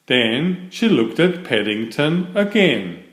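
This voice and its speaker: Slow, lively male storybook reader. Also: on the high side. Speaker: children's story reader and author. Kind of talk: scripted storybook narration, read aloud. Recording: roomy, echoing sound.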